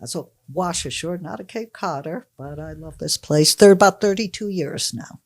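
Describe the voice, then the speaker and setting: A woman's voice: fairly low pitch, moderate pace, dry recording. A woman in her sixties from Connecticut, answering interview questions in a chatty, emphatic, conversational way. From United States (Connecticut). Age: 60s. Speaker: podcast guest, personal trainer.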